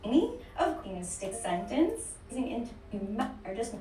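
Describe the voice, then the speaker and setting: A woman's voice: on the high side, moderate pace, soft background music. A woman from California with a Californian accent, explaining speech features in an instructional video. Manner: explanatory, animated. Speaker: English accent teacher. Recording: played back in a roomy space, distant.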